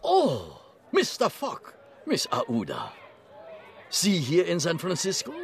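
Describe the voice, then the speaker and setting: An actor playing a scheming detective who speaks with fawning, ingratiating politeness. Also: male voice, fairly high pitch, huge pitch swings, slow pace, vocal fry, heavily acted. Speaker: Detective Fix.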